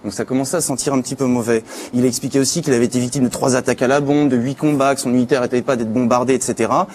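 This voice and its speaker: A man's voice: mid-range voice, steady tone, very fast, darkish tone, slight background noise. A man giving an informal spoken chronicle on a live talk show, as a news contributor. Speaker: contributor presenting internet news.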